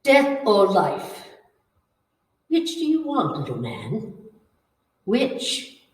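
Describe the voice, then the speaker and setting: An actress playing a menacing, coaxing female ghost hungry for blood, slow and commanding in an old-time radio horror style. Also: medium pitch, huge pitch swings, full character voice. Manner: slow, commanding, taunting, theatrical. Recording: echoey room, far from the mic.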